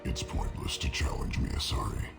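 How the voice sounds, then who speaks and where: An actor playing a huge, cold, arrogant alien crime lord in a video game, with a gravelly growl and formal, menacing delivery. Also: male voice, natural voice. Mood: arrogant menace. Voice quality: deep monstrous gravelly growl. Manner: formal, pompous, measured menace. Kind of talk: video game cutscene acting. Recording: music behind the voice.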